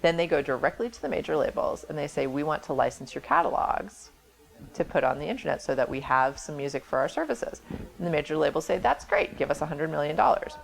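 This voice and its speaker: Low-voiced woman with some creak. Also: big pitch swings, a little fast, rough recording. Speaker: record label president, interview guest. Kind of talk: informal explanatory interview talk.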